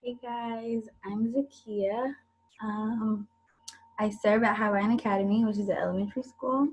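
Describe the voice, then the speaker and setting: Husky, gravelly young woman, easygoing. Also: medium pitch, steady tone, unhurried, low-quality recording. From South Carolina, USA. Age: young adult. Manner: easygoing, giggly, warm about students. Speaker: panelist, service member. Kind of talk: casual introduction on a video call. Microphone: video call.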